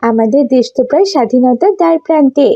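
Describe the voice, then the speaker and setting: A woman voicing an eager, emphatic little refugee girl in a cartoon story, excited to share news. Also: sits high, fast, lo-fi sound. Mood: excited, proud.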